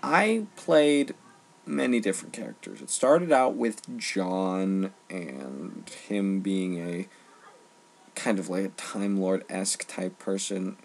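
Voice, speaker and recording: Male, lowish voice, informal online ranter, emphatic and halting. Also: vocal fry. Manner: emphatic, halting delivery with repeated phrases. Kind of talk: informal opinion rant.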